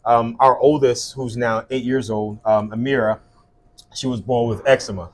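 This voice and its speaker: A man's voice: mid-range voice, steady tone, moderate pace. Earnest man telling his story. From South Sudan and Liberia. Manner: warm, earnest storytelling with frequent fillers. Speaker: guest, skincare business owner. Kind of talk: casual interview conversation.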